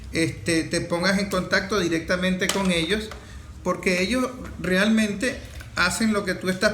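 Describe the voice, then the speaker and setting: Male radio host, fairly high voice, conversational, echoey room. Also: fairly bright tone. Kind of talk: conversational interview moderating. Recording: roomy echo, faint background noise.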